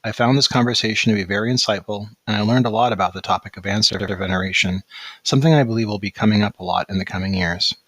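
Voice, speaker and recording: A man hosting a podcast, reading a measured introduction of his guest and then asking questions. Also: lowish voice, fairly bright tone, no echo, low-quality recording. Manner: measured, reads intro from script. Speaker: podcast host.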